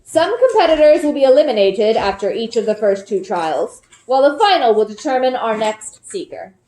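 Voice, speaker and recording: A woman voicing a grave, senior male village official who makes announcements slowly and solemnly. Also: fairly high pitch, sing-song, mellow, reverberant, poor audio. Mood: grave, formal. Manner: slow, solemn, deliberate.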